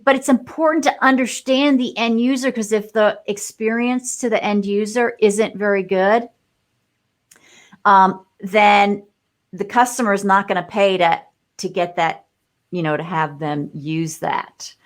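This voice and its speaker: A woman's voice: mid-range voice, fairly even intonation, a little slow. A woman guest in a live discussion, explaining her views in a thoughtful, rambling way with many fillers and restarts. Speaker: guest entrepreneur.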